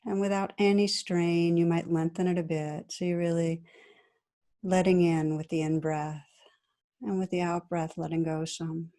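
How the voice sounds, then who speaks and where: A woman meditation teacher guiding a practice in a gentle, soothing, unhurried manner with long pauses between phrases. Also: lowish voice, little pitch movement. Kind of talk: guided meditation.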